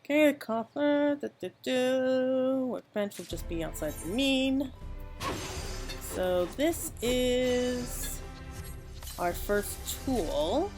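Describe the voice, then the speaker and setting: A woman's voice: sits high, expressive, extremely slow, a little mellow. A woman game streamer reacting casually and gushingly to a game, sometimes reading its text aloud. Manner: gushing, sentimental. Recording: game music behind the voice. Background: video game music.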